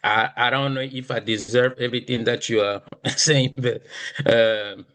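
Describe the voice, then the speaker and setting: A man's voice: mid-range voice, steady tone, measured pace, fairly bright tone. A man speaking casually as a guest on a group call. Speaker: guest accountant.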